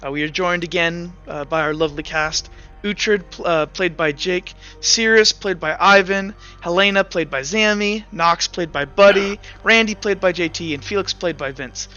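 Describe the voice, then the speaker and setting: A man's voice: sits high, moderate pace, bright, forceful tone. A young man as game master, narrating the scene in second person with hesitant fillers and restarts. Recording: slightly muffled, with faint music.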